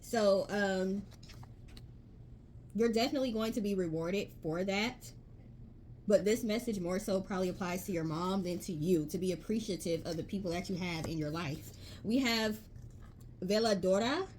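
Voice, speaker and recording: A woman's voice: lowish voice, a little mellow, slight background noise. Chatty woman tarot reader, emphatic. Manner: chatty, emphatic, reads card text aloud. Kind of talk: casual tarot reading to viewers.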